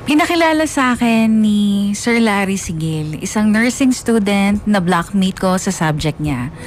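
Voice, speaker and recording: A woman narrates a scripted radio drama in the first person with a Filipino accent. Her voice sits at a middle pitch, with faint music underneath. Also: expressive, a little slow.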